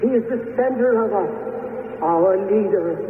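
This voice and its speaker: A woman's voice: mid-range voice, normal speed, lightly acted. An actress playing an urgent, loyal young alien woman in a radio drama, on a tinny, echoey recording. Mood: urgent, pleading. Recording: tinny, noisy, echoey old radio broadcast.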